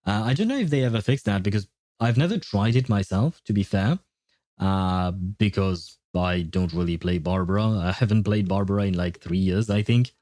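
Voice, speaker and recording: A man livestreaming a game, chatting casually with viewers and explaining things step by step with hesitant pauses. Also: fairly low pitch, warm tone, dry recording, studio quality. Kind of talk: casual gaming livestream chat, answering viewer questions.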